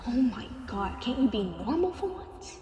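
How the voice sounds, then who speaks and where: Playful, sweary undead tour guide of limbo, played by a woman; higher voice, roomy echoing recording, audio roleplay. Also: big pitch swings, measured pace, warm tone. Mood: irritated. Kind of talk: audio roleplay acting, conversational. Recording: echoey reverberant space with clear noise.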